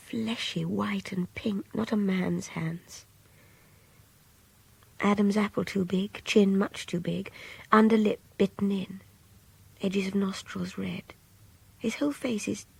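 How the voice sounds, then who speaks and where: An actress playing a young kidnapped Englishwoman in a radio drama, confiding her fear and contempt in a hushed, breathy, intimate diary monologue. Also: medium pitch, heavily acted, poor audio. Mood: scornful, brooding. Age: young adult. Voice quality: breathy, often near-whispered, gravelly edge. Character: young kidnapped woman captive, frightened, contemptuous, passionate, intense.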